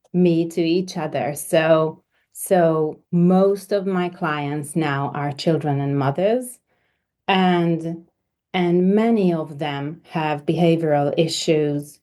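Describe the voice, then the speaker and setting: A woman from Hungary with a fairly low voice, talking in a slow, unhurried way. Her tone is dark and mellow, and she often pauses and repeats words as she searches for what to say. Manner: hesitant, many repeated words and fillers. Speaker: homeopath guest. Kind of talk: conversational interview.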